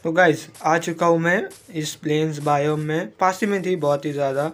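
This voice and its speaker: A man, hoarse from a cold, chatting casually as he narrates his own game play and mixes English words into Hindi. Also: on the high side, steady tone, moderate pace, a bit bright. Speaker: gaming YouTuber.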